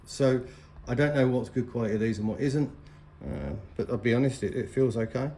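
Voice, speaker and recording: Mellow middle-aged man, noisy recording. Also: mid-range voice, lively intonation, brisk. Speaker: vlogger reviewing motorcycle gear. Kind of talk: casual unboxing and review talk.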